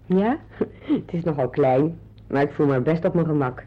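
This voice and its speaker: An actress playing a friendly, deferential hostess in a radio drama, courteous and obliging. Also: female voice, low voice, normal speed. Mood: hospitable, cheerful. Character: friendly, deferential, hospitable host serving tea. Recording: dull, lo-fi old radio play recording.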